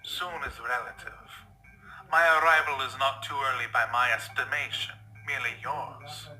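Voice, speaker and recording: A man playing a suave, condescending vampire stallion in a fan audio drama, with theatrical, drawn-out, mocking phrasing. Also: medium pitch, bright, forceful tone, low-quality recording. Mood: silky menace.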